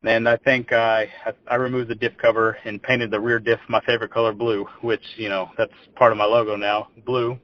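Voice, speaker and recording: A man's voice: lowish voice, flat delivery, fairly quick, hardly any pauses. Young texas man chatting on a podcast, repeats himself starting sentences, kinda muffled. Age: 20s. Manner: rambling, repeats words when starting phrases. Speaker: podcast guest. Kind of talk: casual interview storytelling. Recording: dull lo-fi audio.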